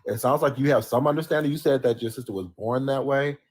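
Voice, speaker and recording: A man's voice: mid-range voice, fast, darkish tone, breathy edge. An American man arguing insistently in a livestream debate over a call mic, lecturing with wry asides. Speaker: livestream guest.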